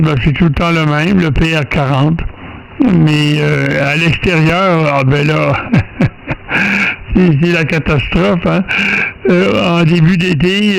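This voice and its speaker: A man with a Quebec French accent, chatting casually as an amateur radio operator in a halting, hesitant way. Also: a little high, vocal fry, clean tone, low-quality recording. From Canada. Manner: hesitant, halting, many pauses. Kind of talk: casual radio contact chat.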